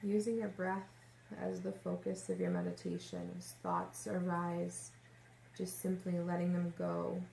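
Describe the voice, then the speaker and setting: Female meditation guide, lowish gravelly-edged voice, gentle pacing with long pauses, echoey room with faint noise. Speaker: yoga nidra meditation teacher. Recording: roomy echo, faint background noise.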